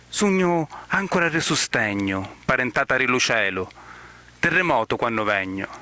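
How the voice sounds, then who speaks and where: A man with a Sicilian accent reciting poetry in a declamatory manner, in measured phrases with pauses. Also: mid-range voice, sharp tone. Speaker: poet.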